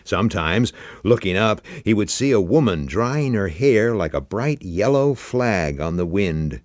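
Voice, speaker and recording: Male audiobook narrator: unhurried, lowish, animated intonation, deliberate dramatic literary delivery. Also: no room sound, clean studio sound. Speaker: story reader.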